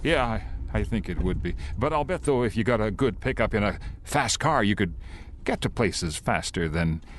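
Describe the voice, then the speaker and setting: A man acting a haunted, desperate lone driver in a radio drama, with a halting, stammering, anxious delivery. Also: fairly low pitch, expressive, slight background noise, lightly acted. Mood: uneasy, musing. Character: haunted, desperate male driver crossing the country alone. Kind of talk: old-time radio drama acting, dialogue and narration.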